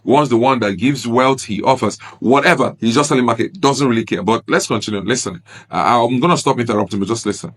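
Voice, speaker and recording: A man's voice: mid-range voice, quick pace, a bit bright. A man, an Igbo speaker, giving earnest, grieved commentary on a video clip and repeating his points. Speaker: reaction video host.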